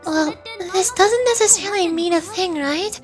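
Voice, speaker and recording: Woman doing a perky, high-pitched puzzled game girl. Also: moderate pace, over music. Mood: surprised, curious. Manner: exclamatory, informal, questioning. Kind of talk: live read-aloud of video game dialogue.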